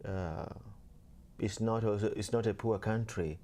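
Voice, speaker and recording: A young man from Kenya, a seminarian guest, talking casually and softly with many fillers. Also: on the low side, a little slow. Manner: soft-spoken, many fillers, laughs easily. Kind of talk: casual interview talk.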